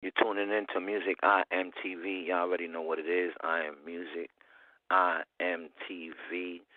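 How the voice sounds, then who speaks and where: A man's voice: deep voice, little pitch movement, normal speed, dry recording, phone-quality sound. A man hosting a casual interview in laid-back, slangy street talk. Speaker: interviewer, channel host.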